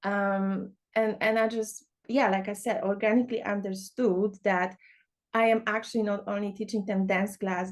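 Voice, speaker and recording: A young woman, talking as a guest on a podcast. She chats easily, at a middle pitch, and fills her talk with "you know" and "like". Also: darkish tone. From Poland. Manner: chatty, many fillers like "you know". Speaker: guest, dance teacher. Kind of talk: casual podcast interview.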